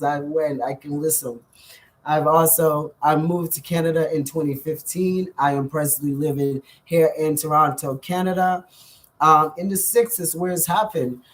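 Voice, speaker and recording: A Bahamian man vlogging casually to his viewers, effusive, full of thanks and shout-outs, with frequent ums. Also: a little high. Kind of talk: casual direct-to-camera vlog.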